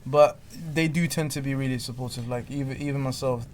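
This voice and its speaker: A man's voice: medium pitch, steady tone, fairly quick, noisy background. A man co-hosting a casual chat, giving earnest advice to trainee teachers and often repeating words as he thinks. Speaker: podcast co-host.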